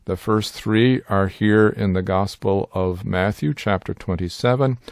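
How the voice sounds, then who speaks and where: Measured older man, low voice. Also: darkish tone, no room sound, clean studio sound. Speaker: Bible teacher and sign language interpreter. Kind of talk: scripted instructional narration.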